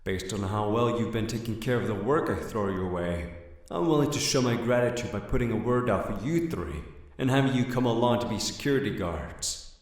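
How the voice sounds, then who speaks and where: Heavily echoing and a little distant, this is a man acting as a self-important syndicate henchman. His low voice belongs to an anime-style crime audio drama. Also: animated, fairly quick, close to an everyday voice. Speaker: voice actor. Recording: very echoey, somewhat distant.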